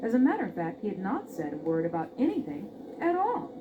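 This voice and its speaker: A woman's voice: mid-range voice, wildly swooping pitch, a little fast. A woman narrating a scripted fairy tale expressively as a storyteller. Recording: dull, noisy old record.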